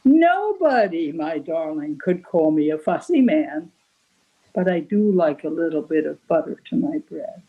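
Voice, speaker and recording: A woman's voice: low voice, sing-song, a little slow, slightly rough, narrow, tinny audio, pitched below the performer's own voice, full character voice. Woman playing a plaintive, fussy storybook king. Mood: pleased. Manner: plaintive, sighing. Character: whimpering, particular king fond of butter, male.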